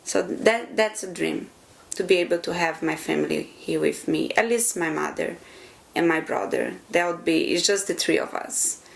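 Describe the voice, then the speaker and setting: A Brazilian-born woman speaks English with a Brazilian Portuguese accent. Her voice sits low and carries a gravelly fry. She answers interview questions in a reflective, earnest way, feeling for the right words. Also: moderate pace.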